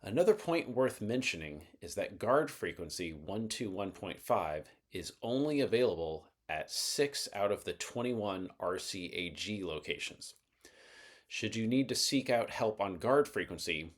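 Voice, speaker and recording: Male explainer narrator, lower register, measured and methodical scripted read. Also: animated.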